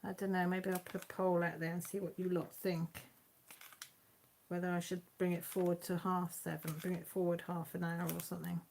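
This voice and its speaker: Woman, Radnorshire Welsh accent, homely chatty livestream host, fairly low voice, laughs often. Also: level tone, a little fast, vocal fry, darkish tone, a little hiss or hum. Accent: Mid Wales (Radnorshire). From Wales. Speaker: YouTube channel host. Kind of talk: casual live-stream chat, reading comments.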